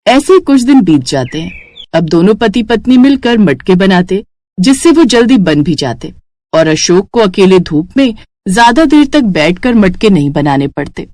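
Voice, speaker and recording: A woman's voice: medium pitch, big pitch swings, normal speed, a little mellow, no room sound, studio quality. A woman storyteller reading a scripted moral story for children.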